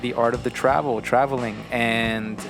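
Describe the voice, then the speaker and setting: A man co-hosting a laid-back podcast, talking in an ordinary mid-range voice and teasing his guest with easy jokes. Music plays clearly underneath him throughout. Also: mid-range voice, fairly even intonation, moderate pace. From New York City. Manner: joking, teasing. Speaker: podcast host, visual artist. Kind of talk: casual podcast chat.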